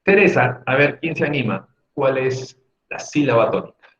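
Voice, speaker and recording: A man's voice: medium pitch, expressive, moderate pace, fairly bright tone, dull audio. A man teaching a class in an emphatic, didactic way, repeating key words and checking in with short tag questions. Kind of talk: Spanish lesson on accent rules for students.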